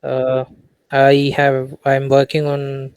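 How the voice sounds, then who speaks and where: A man's voice: medium pitch, completely flat delivery, slow, darkish tone, clean tone, no room sound. A man from India, a developer, introducing himself on a video call. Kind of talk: casual self-introduction.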